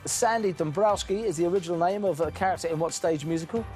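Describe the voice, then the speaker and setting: A man hosting a television quiz show, brisk and teasing with jokes between questions. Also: sits high, darkish tone, soft background music.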